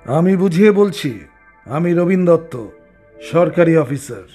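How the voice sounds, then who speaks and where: A high-voiced government officer. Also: male voice, expressive, unhurried, warm tone, faint music behind. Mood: reassuring, official. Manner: formal, explanatory. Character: composed, authoritative government officer, helpful. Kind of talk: Bengali cartoon voice acting.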